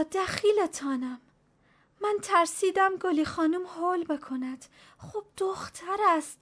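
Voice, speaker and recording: A woman's voice: very high voice, voice pushed up. A woman voicing an old, stooped female servant who is fearful and wily, pleading with her master in a raised voice. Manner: pleading, superstitious chatter.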